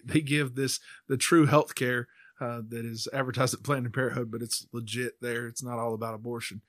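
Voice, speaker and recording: A man's voice: mid-range voice, fairly bright tone, no room sound, studio quality. A man with an American accent hosting a faith podcast, talking in an informal, conversational way. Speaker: podcast host; church member speaking on faith. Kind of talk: casual discussion.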